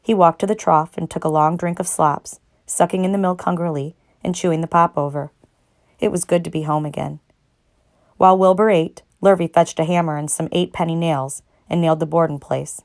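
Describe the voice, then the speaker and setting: Low-pitched woman reading a children's story. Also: steady tone, fairly quick. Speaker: narrator, read-aloud reader. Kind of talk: expressive children's storybook read-aloud.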